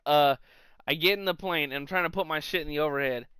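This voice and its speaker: A man co-hosting a podcast, telling comic anecdotes in a casual, animated, sweary way. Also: fairly high pitch, fast, clean tone, dry recording. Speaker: podcast co-host.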